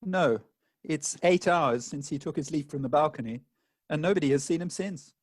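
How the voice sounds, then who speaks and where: A man's voice: a little high, moderate pace, no echo, close to an everyday voice. A man playing a gloomy, anxious jilted bridegroom in a comic farce, plaintive and formal. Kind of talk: comic stage farce acting.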